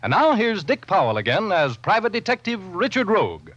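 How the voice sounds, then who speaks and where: A man's voice: high voice, sing-song, fairly quick, heavy background noise. A man reading a 1940s radio commercial as the announcer, upbeat and salesmanlike. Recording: muffled vintage radio broadcast.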